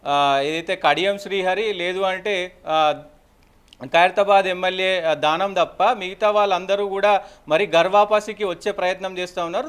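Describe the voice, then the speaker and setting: A man with a Telangana Telugu accent giving an unscripted live news update as a reporter, in halting, repetitive phrases. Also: high-pitched, a bit bright, clear, resonant tone.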